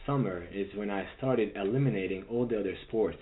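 Male guest, earnest and halting, lowish voice; phone-line sound. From Hungary. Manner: earnest, many fillers and restarts. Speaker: guest, tennis coach and director. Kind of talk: interview answers, conversational.

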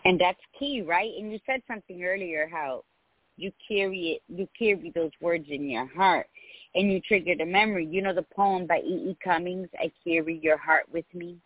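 A woman's voice: on the low side, moderate pace, no echo. An older woman co-hosting a radio talk show, speaking reflectively and reciting a poem. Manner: warm, reflective, quotes poetry. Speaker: co-host. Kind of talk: casual radio chat. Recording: dry, phone-like line.

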